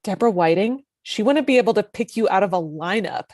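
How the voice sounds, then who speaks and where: A woman's voice: mid-range voice, lively intonation, a little fast, darkish tone, dry recording, natural voice. A woman reading the part of a sardonic, dismissive female TV network executive in a comedy table read. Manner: dry, dismissive, caustic.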